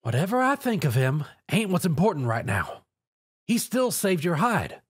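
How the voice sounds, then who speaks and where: A man's voice: fairly high pitch, sing-song, moderate pace, slightly rough, dry recording, studio quality, pitched above the performer's own voice. A man voicing a plain-spoken, folksy country farm mare. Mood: stern. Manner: folksy, firm.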